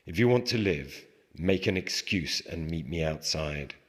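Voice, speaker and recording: Man voicing anonymous male assassin, terse, commanding, very deep, thriller reading, echoing hall. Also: expressive, unhurried, fairly bright tone, exaggerated voice. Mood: grave warning. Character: anonymous would-be assassin's terse warning note. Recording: reverberant hall with long echo.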